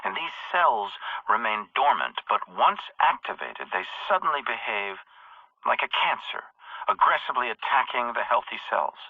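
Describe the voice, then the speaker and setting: An actor playing a ruthless middle-aged military company chief, speaking in measured, grandiose, coldly philosophical tones in a video game audio log. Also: male voice, medium pitch, big pitch swings, no room sound. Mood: calculating. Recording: tinny radio-filtered message.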